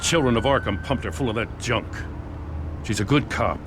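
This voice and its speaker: An actor playing a weary, gruff middle-aged male police commissioner in a video game, blunt and tired. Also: medium pitch, moderate pace, background noise, close to an everyday voice.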